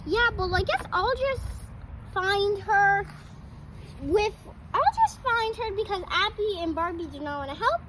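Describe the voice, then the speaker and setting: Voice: very high voice, expressive, unhurried, low-quality recording. A child hosting an improvised doll pretend-play video, speaking as the toys while playing outdoors. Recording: loud noise with clicks and rustling.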